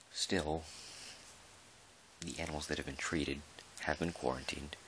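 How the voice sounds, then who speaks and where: A man playing a measured, dry park employee who dictates an official audio log in a halting, deliberate way, in ASMR roleplay style. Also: extremely low pitch, gravelly, pushed and bright, a little hiss or hum, full character voice, poor audio. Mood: matter-of-fact. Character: male dinosaur park employee, measured, dryly put-upon record keeper.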